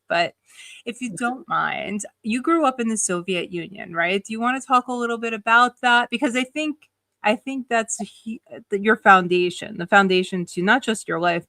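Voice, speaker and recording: Woman host, informal livestream chat. Bright, forward tone, close dry sound, gushing delivery. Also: mid-range voice, creaky, no echo. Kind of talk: casual livestream interview.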